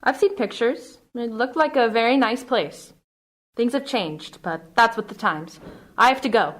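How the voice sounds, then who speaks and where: A woman plays a prim young woman with a high, light voice. She is curt and guarded toward a stranger, and her voice rises into screams when she is frightened. The delivery is open stage acting. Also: unhurried. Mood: cool guarded. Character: guarded young wife, frightened then relieved. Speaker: actor. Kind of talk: stage or radio play acting.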